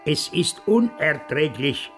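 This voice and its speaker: A man's voice: on the high side, sing-song, takes it slowly, faint music behind. An older man acting a stubborn, grumbling man in his nineties in a radio play. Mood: indignant. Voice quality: gravelly, husky. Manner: emphatic, repetitive grumbling. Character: indignant, stubborn, vain, wistful 95-year-old man.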